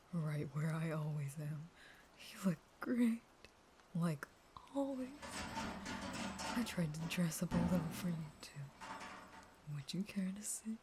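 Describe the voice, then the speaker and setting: A woman playing a starving, unstable caged vampire who pleads with a lover in a breathy, intimate, dramatic whisper. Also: lowish voice, dark tone, slight background noise. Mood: desperate pleading, then coaxing, pouting. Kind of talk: intimate whispered roleplay audio monologue.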